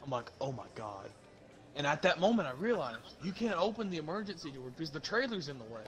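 A young man casually telling stories to friends while gaming, slangy and animated as he acts out the dialogue. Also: a little high, fast. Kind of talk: casual storytelling among friends during online game.

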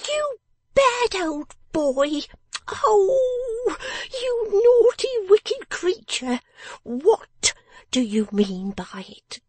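A woman voicing a sharp-tongued, bossy young girl in a storybook, scolding in a shrill, imperious way. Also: sing-song, unhurried, no echo. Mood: commanding, scolding. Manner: imperious, tart, emphatic scolding.

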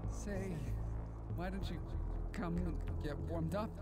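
An actor playing an eerie, courteous, melancholy stranger from the past in an audio drama, speaking haltingly with old-fashioned politeness. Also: male voice, high voice, steady tone, dark tone, soft background music. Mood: inviting, enigmatic.

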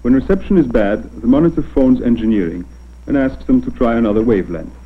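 Guy doing old documentary narration, mid pitch, tinny film sound. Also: animated, fast talker, slightly rough. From Poland. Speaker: narrator, radio newscaster. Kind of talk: scripted 1960s documentary narration. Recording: thin, band-limited old film soundtrack.

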